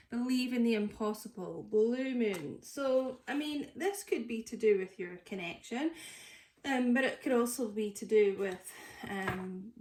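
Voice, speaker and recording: A woman's voice: medium pitch, darkish tone. A woman with a stuffy nose from a cold, chatting casually through a tarot reading with lots of fillers. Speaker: tarot reader. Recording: echoey room.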